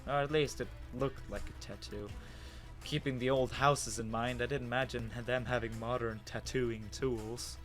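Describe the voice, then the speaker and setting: A man acts out a bewildered human telling his own story in an animated dramatic reading. His mid-pitched voice is wondering and hesitant. Faint music plays behind him. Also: moderate pace, lightly acted. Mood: stunned, observant. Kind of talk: first-person dramatic story narration.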